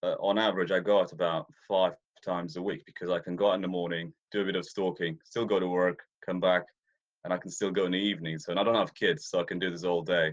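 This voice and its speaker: Deep low guy from ethiopia chatting about his hobby, lots of uhs, kinda muffled recording. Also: level tone, fast talker. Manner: rambling, many restarts and fillers. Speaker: interview guest, deer stalker. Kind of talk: casual interview chat. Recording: dull lo-fi audio.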